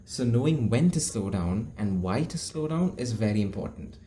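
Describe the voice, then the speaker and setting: Low mellow young man coaching speech. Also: lively intonation, normal speed, a little hiss or hum. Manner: demonstrates tones, shifts loudness for emphasis. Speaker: speaking coach. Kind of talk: instructional video talk.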